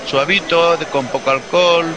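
A man's voice: fairly high pitch, fairly even intonation, normal speed, low-quality recording. Spanish man chatting in a crowded place. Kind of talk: casual chat over drinks. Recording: busy crowd chatter around the voice.

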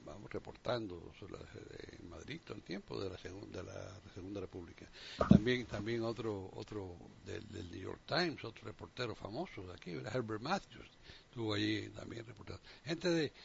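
Cuban man, deep creaky voice, informal discussion with halting restarts, muffled recording with faint noise. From Cuba. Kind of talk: casual unscripted discussion.

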